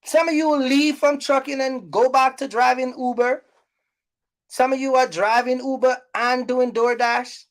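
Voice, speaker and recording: Woman, fairly high voice, casual rant, blunt, scolding, emphatic repetition, vlog host. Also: steady tone, a little slow. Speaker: YouTube host giving trucking business advice. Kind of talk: casual unscripted rant to viewers.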